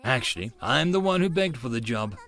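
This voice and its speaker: A man's voice: medium pitch, very animated, a little fast, a bit bright. A man voicing an eager eighteen-year-old young woman in an audiobook, with brief, slightly awkward replies.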